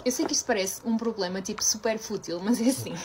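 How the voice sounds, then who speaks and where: A woman's voice: mid-range voice, fast, very noisy. A woman with a European Portuguese accent venting in a casual vlog, exasperated and laughing nervously as she talks. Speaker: vlogger venting in a video.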